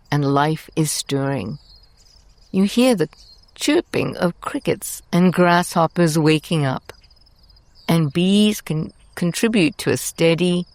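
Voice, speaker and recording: Female meditation guide: low voice, very unhurried, soothing and deliberate, close dry sound. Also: no echo. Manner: soothing, deliberate, with long pauses between short phrases. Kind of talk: scripted guided meditation narration.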